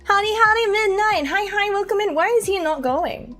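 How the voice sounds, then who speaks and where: A woman's voice: very high voice, moderate pace, a bit bright. A woman streamer with a sore throat, chatting casually in a rambling way, swearing and laughing.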